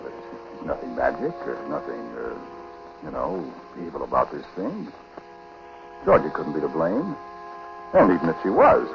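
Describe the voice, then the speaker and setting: Casting: brooding, uneasy husband confessing a dark tale, low halting voice, 1940s radio drama, muffled noisy vintage recording. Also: male voice, vocal fry, full character voice. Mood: uneasy, defensive. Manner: halting, conversational, brooding delivery. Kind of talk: 1940s radio drama acting and first-person narration.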